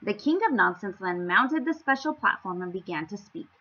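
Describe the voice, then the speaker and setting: A woman reading a storybook aloud to young children, with theatrical, playful emphasis and an expressive storytelling style. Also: mid-range voice, moderate pace, lo-fi sound. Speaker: children's story-time reader.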